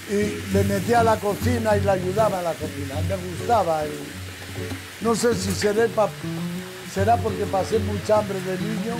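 Jovial older chef, high voice. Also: male voice, poor audio. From Spain. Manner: jovial, joking, storytelling. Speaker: restaurant chef. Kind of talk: casual chat while cooking. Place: restaurant kitchen. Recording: loud background music.

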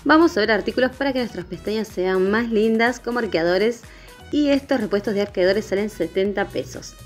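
A woman's voice: mid-range voice, fairly quick. A woman narrating a shopping vlog in voice-over. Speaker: vlogger. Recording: dull lo-fi voice-over with music. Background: background music.

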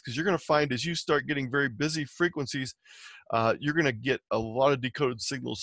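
A man's voice: mid-range voice, fairly bright tone, no echo. Man presenting a step-by-step tutorial, dry sound. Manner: explanatory, step by step. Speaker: presenter teaching amateur radio software. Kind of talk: instructional slide presentation.

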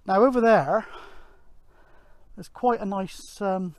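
A middle-aged man gives casual outdoor vlog commentary in a rambling, halting way, thinking aloud. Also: sits high, no echo. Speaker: amateur radio vlogger, presenter. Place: outdoors on an open grassy hillside.

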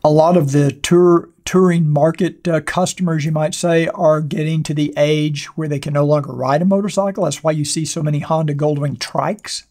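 An older man with a hoarse voice, hosting a motorcycle channel and answering viewer questions in a rambling, casual way, seated at a desk in a small studio with a clip-on mic. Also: on the high side, steady tone, normal speed, hardly any pauses, a little mellow. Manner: rambling, conversational, many fillers and restarts. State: hoarse, losing his voice. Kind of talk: casual talk to camera answering viewer comments. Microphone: wireless clip-on mic.

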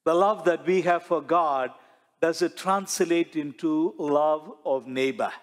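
A middle-aged man delivers a sermon as a priest, speaking slowly and deliberately and leaning on rhetorical questions. His voice sits a little high for a man. A lapel mic catches him in a large sanctuary, and a long church reverb trails every phrase. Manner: slow, measured preaching with rhetorical questions and gestures.

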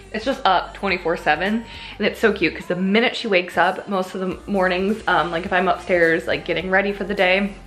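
A woman's voice: medium pitch, normal speed, fairly bright tone, soft background music. A woman in her thirties chatting casually as a home vlogger, in a rambling, conversational way. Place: indoors at home.

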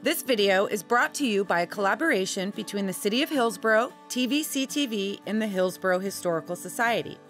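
A woman gives scripted narration for a local history video series in an expressive, clearly enunciated delivery. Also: mid-range voice, a little fast, hardly any pauses, faint music behind. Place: voice-over.

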